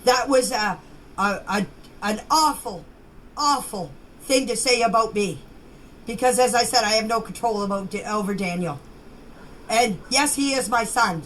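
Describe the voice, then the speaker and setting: A woman of middle age or beyond talks in a somewhat high voice, as if leaving voice messages. She is insistent and defensive, circling back on her points and tripping over words. The homemade recording carries faint noise. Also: a bit bright. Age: middle-aged or older. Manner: defensive, insistent, repeating herself for emphasis; halting, stumbling over words and names. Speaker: member of an online group chat sharing voice messages. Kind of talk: casual unscripted voice messages. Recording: noisy amateur voice message recording.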